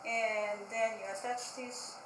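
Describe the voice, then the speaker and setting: A woman hosting children's storytime, reading and explaining in an expressive, child-directed way, in a roomy space with faint noise. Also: a little high, slow. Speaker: library storytime host. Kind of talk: children's read-aloud and craft demonstration.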